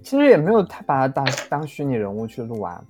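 Man, medium pitch, voice actor guest, casual chat, halting phrasing, faint music. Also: huge pitch swings.

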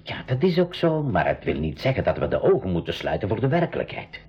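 Explorer uncle, husky voice, reassuring, instructive, 1980s school radio drama, muffled sound. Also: male voice, on the low side, huge pitch swings, fast, exaggerated voice. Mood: earnest, reassuring. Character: adventurous, reassuring uncle piloting his own flying amphibious craft. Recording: dull 1980s radio recording.

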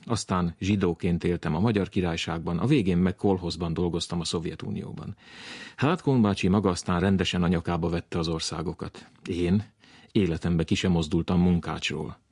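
A man with a husky voice, presenting a radio programme from a script with touches of humour. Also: low voice, a little mellow, no room sound, studio quality. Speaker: radio host. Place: radio studio. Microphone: close studio microphone.

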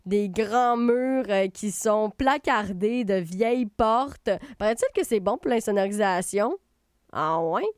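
A woman's voice: medium pitch, slow pace, clean tone, dry recording, clean studio sound. A woman with a Québécois accent hosting a radio show, chatting loosely about a festival with lots of fillers and restarts. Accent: Québécois French. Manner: rambling, chatty, many fillers and self-corrections. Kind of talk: casual spoken review. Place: radio studio.

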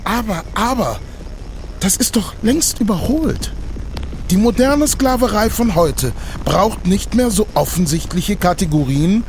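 An actor playing a loud, insistent apologist in satirical stage declamation. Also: male voice, sits high, animated, measured pace, a little hiss or hum, poor audio. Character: loud, protesting modern apologist for exploitation.

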